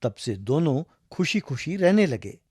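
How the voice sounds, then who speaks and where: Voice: mid-range voice, big pitch swings, measured pace, warm tone, slightly rough, no echo. A storyteller narrating a scripted tale for children.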